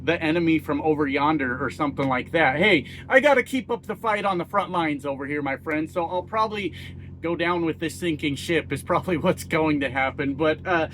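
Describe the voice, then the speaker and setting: A man's voice: on the high side, fairly quick, fairly bright tone, a little hiss or hum, rough recording. A middle-aged American man giving blunt, casual political commentary, folksy and self-mocking, swearing freely. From United States. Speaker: YouTube commentator.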